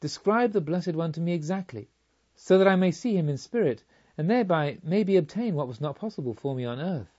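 Yearning male pilgrim, read by a man, mellow. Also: a little high, animated, a little fast, muffled recording, voice pushed up. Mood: pleading. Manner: earnest, pleading. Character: yearning, restless young male pilgrim seeker.

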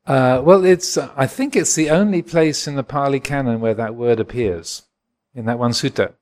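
A man in his sixties from England, a Buddhist monk giving a gentle, reflective dharma talk and answering questions at a retreat. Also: medium pitch, moderate pace. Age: 60s. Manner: gentle, reflective, chuckles often.

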